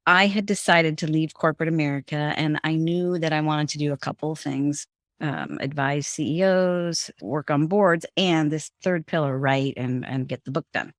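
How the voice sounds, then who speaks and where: A woman's voice: sits low, normal speed, dry recording, studio quality. A middle-aged woman, a guest on an interview show, recounting her career in a conversational way with frequent restarts. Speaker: guest, former bank executive. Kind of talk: storytelling, interview answers.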